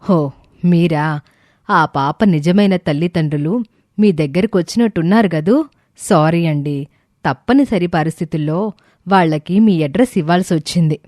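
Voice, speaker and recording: A woman's voice: lowish voice, moderate pace, long pauses, a little mellow, no echo, studio quality, lightly acted. A woman voicing a cautious orphanage director in a story, polite and apologetic.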